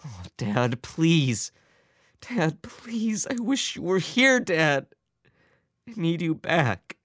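A man's voice: fairly low pitch, animated, moderate pace, dry recording, studio quality, pitched above the performer's own voice, natural voice. A man voicing an unhappy, anxious grown son in a story, emotional and pleading.

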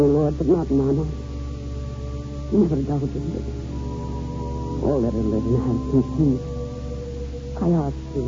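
An actor playing a tormented, proud young working man with fervent emotion in a 1940s radio drama. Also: a little high. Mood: desperate prayer. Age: young adult. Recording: dull 1940s radio broadcast, music underneath.

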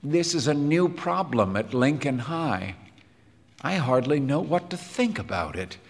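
A man voicing an earnest, well-meaning, idealistic music teacher in an audiobook, gentle and a little rhapsodic. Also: on the high side, animated, a little slow, vocal fry, long reverb tail, voice pushed up. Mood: troubled. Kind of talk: audiobook character dialogue.

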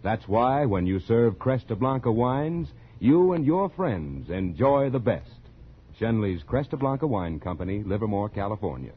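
Animated vintage radio announcer, American man. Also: lowish voice, slight background noise. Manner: polished, emphatic, deliberate phrasing. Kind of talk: 1940s radio advertising read. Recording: dull vintage radio recording.

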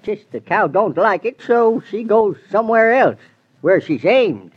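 A high, lively old farmhand spinning homespun yarns in a rural drawl, with a husky edge. It comes through a dull 1940s radio recording. The radio play keeps him chatty and sly throughout. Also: male voice, a little slow, exaggerated voice. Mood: folksy. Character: folksy talkative old male farmhand.

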